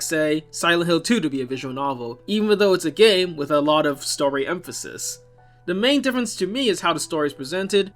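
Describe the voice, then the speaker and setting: A man's voice: high-pitched, a bit bright. A man narrating a scripted video essay as its host, opinionated and given to wry asides, with music playing behind him. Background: background music.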